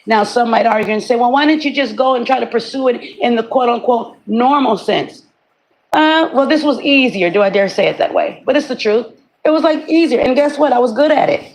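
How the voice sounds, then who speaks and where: A woman in her forties, slightly raspy today, giving a candid, chatty motivational talk to her viewers and often repeating herself. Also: fairly high pitch, a little hiss or hum, poor audio. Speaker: webcam model vlogger. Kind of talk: casual motivational monologue.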